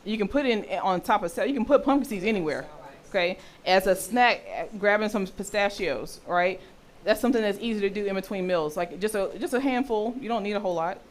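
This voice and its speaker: A woman with a deep voice for a woman, teaching a health session and often checking in with her listeners. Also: expressive, brisk. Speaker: health and intimacy educator.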